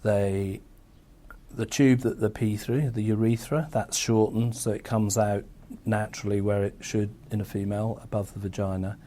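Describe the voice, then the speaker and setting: A man, a surgeon, explaining a procedure in a measured, matter-of-fact way. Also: fairly low pitch, fairly even intonation, dark tone.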